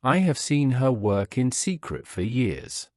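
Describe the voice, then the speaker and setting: A man's voice: mid-range voice, very animated, unhurried, no echo, clean studio sound. A man voicing a firm, truthful old woman potter in a bedtime story, assured and declarative. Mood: assertive.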